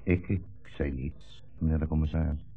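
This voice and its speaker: A low, deep old man's voice comes through a thin vintage radio recording with faint music. It is a blind rabbi, dignified and measured. He is polite yet gently defiant, with quiet irony, in 1960s radio-play style. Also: fairly even intonation, narrow, tinny audio. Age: older. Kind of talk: 1960s radio drama acting.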